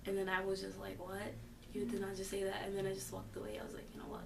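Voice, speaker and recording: A woman's voice: medium pitch, monotone, quick pace, gravelly, reverberant, far from the mic, background noise. A fifteen-year-old girl answering interview questions casually, hesitant and filler-heavy but growing emphatic. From born in the United States. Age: teens. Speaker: high school student interviewee.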